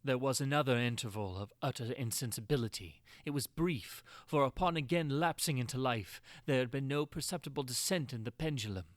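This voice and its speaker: A man's voice: medium pitch, no room sound, studio quality, close to an everyday voice. Man acting a tormented, anguished gothic prisoner. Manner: theatrical, anguished, archaic diction. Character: tormented male prisoner recounting his agony and terror.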